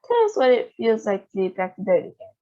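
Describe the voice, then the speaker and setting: A woman voicing an excitable old grandfather who yells his words. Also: mid-range voice, quick pace, highs cut off, close to an everyday voice. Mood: eager. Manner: yelling, excited.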